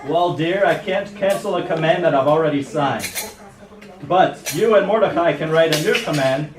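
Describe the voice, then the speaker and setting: A man voicing an excitable, decisive king in an amateur puppet show with exaggerated delivery. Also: on the high side, normal speed, natural voice. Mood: soothing. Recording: echoey room, distant mic, audience noise.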